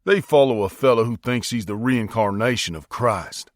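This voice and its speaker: A man's voice: medium pitch, no room sound, clean studio sound, close to an everyday voice. Man acting a drawling, folksy rural American man. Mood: wry, folksy. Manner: folksy, unhurried, plain-spoken.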